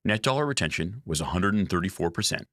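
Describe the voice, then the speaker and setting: A man reads a scripted earnings presentation as a company executive, in a formal, measured manner. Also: low-pitched, animated, a bit bright, no echo, studio quality. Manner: formal, measured reading of figures.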